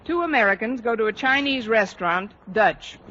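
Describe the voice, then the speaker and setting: An actress playing a wry female English teacher in a 1940s radio comedy, with dry, deadpan wisecracks. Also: on the high side, moderate pace, close to an everyday voice. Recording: muffled, very noisy old radio broadcast. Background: loud studio audience.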